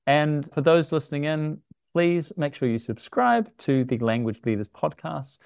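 Gravelly, smooth-voiced male podcast host. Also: on the high side, expressive, moderate pace, a little mellow, dry recording. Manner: affirming, short acknowledgements. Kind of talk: podcast interview.

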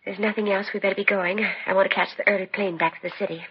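A woman's voice: mid-range voice, fairly even intonation, fast, breathy edge. An actress playing a domineering, fussing middle-aged mother in a 1950s radio drama. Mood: brisk, controlling. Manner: fussing, imperious, cooing over her son. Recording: muffled, noisy 1950s radio broadcast with clicks.

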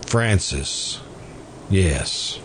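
Man playing a low-voiced, courteous confessed killer. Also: expressive, exaggerated voice. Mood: wistful. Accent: American. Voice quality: hesitant, halting delivery. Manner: polite, deferential, quietly stubborn. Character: mild, courteous, lovelorn man confessing to murder. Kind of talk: old-time radio drama acting. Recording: amateur radio recording, clearly noisy background.

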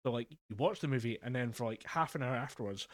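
A man's voice: mid-range voice, fast talker, no room sound, clean studio sound. Rambling man on a podcast. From Scotland. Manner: rambling storyteller, frequent swearing and laughing. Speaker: podcast co-host. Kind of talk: casual chat. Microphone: home mic over a voice call.

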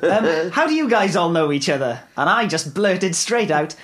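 A man's voice: a little high, big pitch swings, moderate pace, a bit bright. A man co-hosting a comedy podcast, telling jokey stories in casual chat. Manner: jokey, storytelling. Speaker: podcast co-host.

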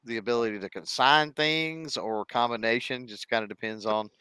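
A man's voice: medium pitch, fairly quick, bright, forceful tone, clear, resonant tone, no room sound. A man hosting a casual interview podcast, asking rambling questions in several parts.

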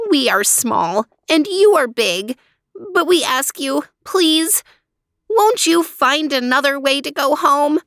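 A woman's voice: high-pitched, expressive, slow, fairly bright tone, no echo, clean studio sound, voice pushed up, full character voice. A woman voicing a small, brave mouse who pleads earnestly with a king. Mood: pleading.

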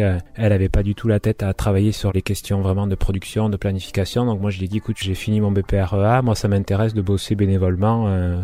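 A man from Béarn, a market gardener who supervises farm workers, speaking informally in an interview and often pausing to think. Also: deep voice, mellow. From Béarn, France. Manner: informal, thinks aloud with frequent hesitations. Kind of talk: relaxed interview talk.

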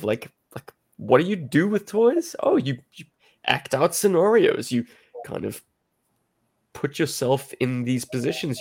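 A man's voice: mid-range voice, vocal fry, darkish tone. A young man from South Africa with a South African accent, hosting a podcast in a chatty, self-deprecating way. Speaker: podcast host. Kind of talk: casual podcast chat.

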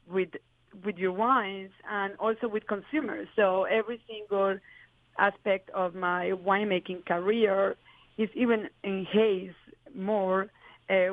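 A woman's voice: medium pitch, no echo, phone-quality sound. A woman from Colombia, a winemaker answering interview questions in earnest, flowing explanations with fillers.

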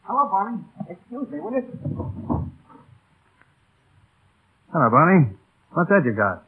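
A narrow, muffled old radio broadcast carries a tough flying boss. He is husky and curt, with swooping pitch and a teasing, gruffly fond edge in classic 1930s radio drama style. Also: wildly swooping pitch, brisk, full character voice. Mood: guarded, tender. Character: tough, brusque male mail pilot boss, gruffly affectionate.

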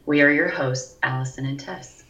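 A woman in her forties with a deep, scratchy voice, co-hosting a podcast in casual chat, talking haltingly with pauses and ums. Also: fairly even intonation, fairly bright tone, recorded from a distance, lo-fi sound. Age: 40s. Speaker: podcast co-host. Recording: echoey room, voice a bit off-mic, faint noise.

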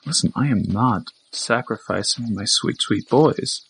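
A man's voice: medium pitch, a little slow, vocal fry, fairly bright tone, slight background noise, poor audio. A man playing a joking, explosives-loving teammate in an audio drama, with a casual, wisecracking manner.

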